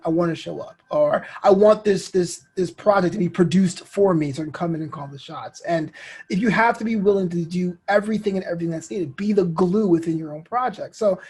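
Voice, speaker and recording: A husky-voiced man talks casually as an interview guest. He explains things like a storyteller, slipping into acted-out quotes to make his points. The voice has a rough, unclear edge to it. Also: high-pitched, a little fast. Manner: animated storytelling, acts out imagined quotes. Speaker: interview guest, actor and podcast host.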